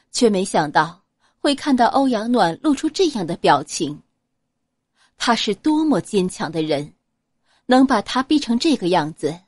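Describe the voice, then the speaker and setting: A woman narrating a serialized novel as an audiobook, reading in a dramatic, expressive manner. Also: mid-range voice, breathy edge, dry recording, studio quality.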